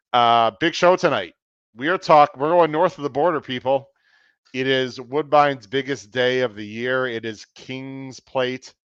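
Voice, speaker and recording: A man hosting a horse racing podcast, a little hoarse, running through plugs and sponsor reads in an upbeat, folksy way. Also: lowish voice, fairly bright tone, dry recording, dull audio. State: slightly hoarse. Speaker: podcast host.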